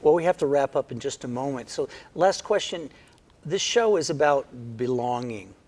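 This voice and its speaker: A man with a Midwestern Michigan accent who hosts an interview show and teaches English pronunciation in an upbeat, clear way. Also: mid-range voice, animated, moderate pace, a little mellow. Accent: Michigan Midwestern American. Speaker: TV host.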